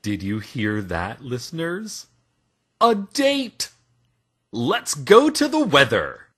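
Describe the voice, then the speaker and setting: A smitten small-town radio host in a scripted show. The delivery is slow and dramatic, with a husky edge and wide swings of pitch. He confides in his listeners in florid phrases. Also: huge pitch swings, fairly bright tone, heavily acted. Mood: giddy.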